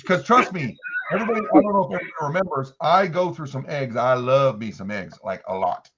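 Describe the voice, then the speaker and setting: A man's voice: medium pitch, quick pace, over a noisy crowd. A middle-aged man chatting casually as a livestream guest on a video call, folksy and emphatic, in dull lo-fi call audio. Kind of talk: casual chat.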